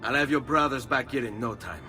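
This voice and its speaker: A man's voice: medium pitch, animated, brisk, pushed and bright, recorded from a distance, faint music behind. A man playing a cool, confident young assassin in a video game, with an Italian accent. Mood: confident, ready. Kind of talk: video game cutscene acting.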